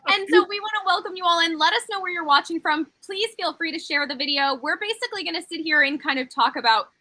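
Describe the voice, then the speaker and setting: A woman's voice: extremely high pitch, fast talker, pushed and bright. An upbeat, gushing woman hosting a casual live chat and interview. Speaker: live show host.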